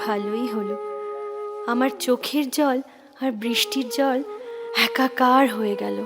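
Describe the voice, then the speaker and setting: A woman's voice: a little high, steady tone. A woman playing an intense, wounded young admirer of a poet in an audio drama, speaking emotionally and poetically. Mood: tearful, tender. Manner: emotional, poetic, deliberate delivery. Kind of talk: Bengali audio drama acting. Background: background music.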